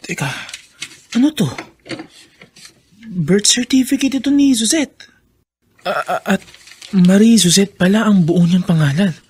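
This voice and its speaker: An earnest young man with a Filipino accent and a husky voice. He speaks in radio drama style, thinking aloud. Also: sits high, expressive, measured pace, long pauses, a little mellow, full character voice. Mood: astonished.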